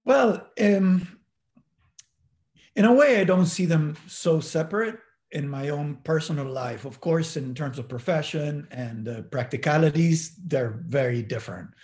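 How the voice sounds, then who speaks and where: A man's voice: fairly high pitch, a little slow, a little mellow. A middle-aged man with an Argentine accent, a native Spanish speaker, looking back reflectively and searching for words as he goes. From Buenos Aires, Argentina. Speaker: interview guest. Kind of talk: reflective personal recollection.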